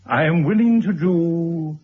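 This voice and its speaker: Coolly formal older gentleman. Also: a little high, husky. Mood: dry, coolly ironic. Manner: formal, measured, dry. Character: calm, calculating older gentleman author, coolly insistent. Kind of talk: radio drama acting. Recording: dull, hissy old radio recording.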